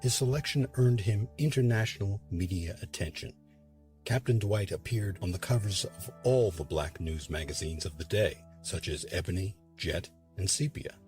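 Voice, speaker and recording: A man's voice: low-pitched, expressive, moderate pace, darkish tone, soft background music. A man reading scripted narration for a history documentary.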